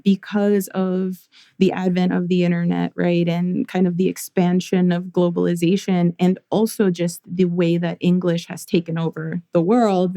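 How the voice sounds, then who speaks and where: A young adult woman with a low, gravelly voice and a mellow tone talks through her ideas in a thoughtful, measured way, now and then starting a phrase over. She sounds like an interview guest on a podcast mic in a dry, close space. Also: no room sound. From United States. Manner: thoughtful, measured, with frequent restarts. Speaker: guest, therapist and artist. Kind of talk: reflective interview conversation.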